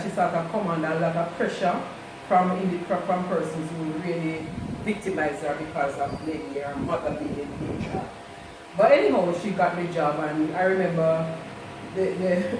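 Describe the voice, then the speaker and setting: A middle-aged woman, a mother, telling family stories in a measured way and pausing to search for words. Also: fairly low pitch. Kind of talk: casual storytelling. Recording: echoey room, distant mic, background noise.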